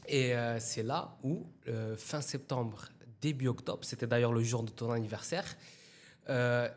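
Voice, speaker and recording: A man's voice: mid-range voice, unhurried, a little hiss or hum. Young man telling an anecdote. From Italy. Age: 20s. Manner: relaxed anecdotal telling, slangy, with acted quotes. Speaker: guest. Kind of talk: casual storytelling in an interview.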